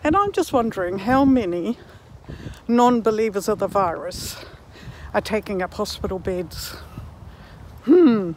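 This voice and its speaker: Female vlogger, 60s, unhurried and musing, recorded walking outside with light background noise. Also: medium pitch, expressive, a little mellow, low-quality recording. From Netherlands and New Zealand. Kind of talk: reflective walking vlog monologue. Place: outdoors, walking in a park.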